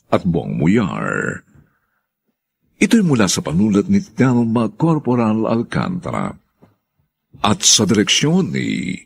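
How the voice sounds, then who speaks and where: Male radio drama narrator: gravelly, very dynamic pitch swings, measured scripted announcing.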